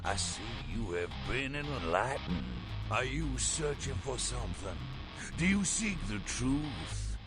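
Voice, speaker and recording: Video game villain: fanatical vampire cult preacher, grandiose, slow and deliberate, dramatic rises and drops. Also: male voice, medium pitch, big pitch swings, music in the background. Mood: grandiose, welcoming. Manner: grandiose, theatrical preacher's delivery with drawn-out words.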